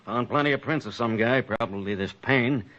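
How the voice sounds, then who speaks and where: A man's voice: medium pitch, moderate pace, a bit bright, highs cut off, close to an everyday voice. A man playing a businesslike police technician in an old-time radio drama, clipped and matter-of-fact, on a vintage recording. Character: businesslike male police technician reporting to his captain.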